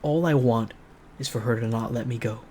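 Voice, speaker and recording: A man's voice: medium pitch, brisk, a little mellow, slight background noise. A man reading a visual novel aloud as a streamer, switching between dramatic narration and casual, joking commentary. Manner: playful, joking asides.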